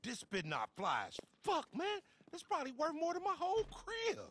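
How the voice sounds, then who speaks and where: An actor playing a brash, boastful young American gangster and would-be rapper in a video game, talking animated street trash talk. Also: male voice, extremely high pitch, moderate pace, a little hiss or hum, exaggerated voice. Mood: cocky. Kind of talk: video game cutscene acting, street slang.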